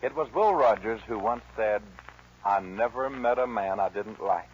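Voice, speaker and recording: A man's voice: fairly low pitch, moderate pace. A man announcing and narrating a scripted 1940s radio show. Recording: dull, noisy 1940s radio recording.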